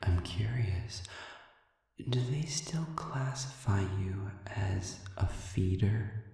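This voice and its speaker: A man playing a suave, sadistic demon in an audio roleplay, speaking in a measured, condescending, formal way with lingering pauses and a breathy voice that often sinks toward a whisper. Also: lowish voice, animated, mellow, soft background music, heavily acted. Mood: taunting, calculating. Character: suave, sadistic, manipulative male demon prisoner. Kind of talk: audio roleplay, slow intimate monologue addressed to listener. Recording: echoey hall-like reverb, distant.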